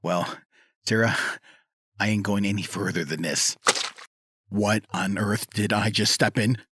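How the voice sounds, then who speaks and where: A man acting as a grumpy, reluctant motel owner in an audio drama, with a deep, husky voice. He grumbles skittishly and colloquially, in a dry, close recording. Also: fairly even intonation, a little slow, a bit bright, dry recording. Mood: nervous, reluctant.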